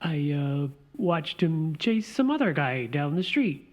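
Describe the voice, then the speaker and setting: A woman's voice: low voice, takes it slowly, heavily acted. A woman playing an earnest, easily rattled partner. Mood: defensive. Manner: defensive, expressive. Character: earnest, timid partner goaded into conflict. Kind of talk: radio play acting.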